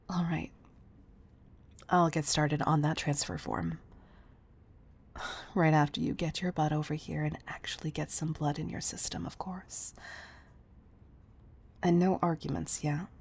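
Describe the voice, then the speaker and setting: Casting: actress as a doting, protective female boss for intimate audio drama; low, gravelly, tough but tender. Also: darkish tone, heavily acted. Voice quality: husky, breathy, often near whisper. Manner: intimate, deliberate delivery with pet names. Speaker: audio roleplay voice performer. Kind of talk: intimate scripted audio roleplay acting.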